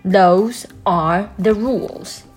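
A prim, unruffled head librarian from a children's storybook, acted out by a woman reader. The voice sits well above the reader's own and moves slowly and firmly, as one who lives by rules. Faint music sits behind it. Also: medium pitch, animated, voice pushed up. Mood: stern. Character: stern, rule-bound female head librarian, unruffled and prim.

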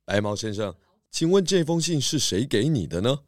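An actor playing a calm, methodical detective chief with measured, polite, reassuring questioning. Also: male voice, mid-range voice, dry recording, close to an everyday voice. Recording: dry close voice over faint music.

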